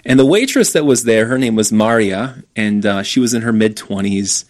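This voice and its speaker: A man's voice: lowish voice, moderate pace. Earnest man telling a faith story. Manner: earnest, animated retelling with quoted dialogue. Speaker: ministry speaker. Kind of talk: casual storytelling in a conversation.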